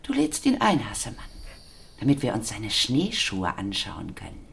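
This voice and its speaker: A woman's voice: very deep voice, huge pitch swings, moderate pace, gravelly, slightly rough, heavily acted. An actress playing a blunt, eccentric, middle-aged working-class mother of a teenage boy in a radio play, earthy and commanding. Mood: challenging, bossy. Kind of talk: German radio play acting.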